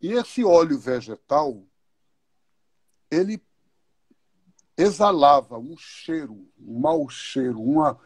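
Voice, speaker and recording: A man's voice: a little high, animated, husky, no echo. A man speaking as a guest in a live online talk, explaining his views thoughtfully and posing questions as he goes. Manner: unhurried, thoughtful, uses questions and examples.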